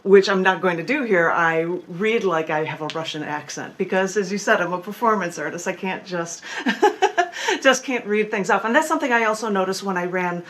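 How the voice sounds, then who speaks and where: A woman's voice: medium pitch, expressive, moderate pace, a bit bright. A woman poet and performance artist talking and reading her work in a remote interview over a video call. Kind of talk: casual interview talk with poem readings.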